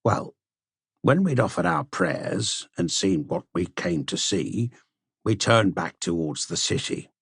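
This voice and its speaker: A man narrating a literary audiobook in an expressive storytelling manner, with a gravelly, husky voice. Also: low-pitched, slow pace, dry recording, studio quality. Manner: expressive, storytelling delivery with shifts for dialogue. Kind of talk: scripted literary narration with character dialogue.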